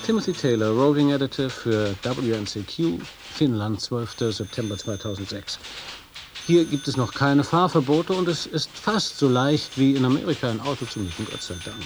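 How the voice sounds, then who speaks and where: An actor playing an eager, wry American roving reporter in a German radio play. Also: male voice, medium pitch, lively intonation, moderate pace, music in the background, lightly acted. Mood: chatty, narrating. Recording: clearly noisy outdoor-style ambience.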